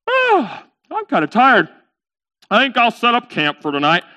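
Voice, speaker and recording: A man's voice: very high voice, wildly swooping pitch, unhurried, sharp tone, highs cut off, voice pushed up, heavily acted. A man playing a sleepy, easily startled desert traveler in a comic story, giving in with exasperated reluctance. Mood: tired.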